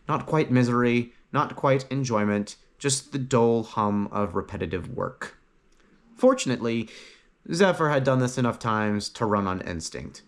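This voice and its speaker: A man reading a fantasy story as a narrator, with dramatic phrasing and frequent pauses. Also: medium pitch. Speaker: audiobook narrator.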